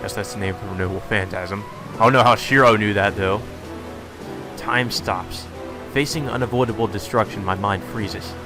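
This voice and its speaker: A man's voice: on the low side, normal speed. A man reading visual novel text aloud as a let's play host, with dramatic delivery and casual asides, over game music. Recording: music playing behind the voice.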